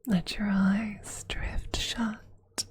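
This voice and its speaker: A woman voicing a tender, possessive female vampire lover in an ASMR roleplay, speaking in a slow, soothing, intimate breathy whisper with lingering pauses. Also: mid-range voice, level tone, heavily acted. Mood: devoted, tender.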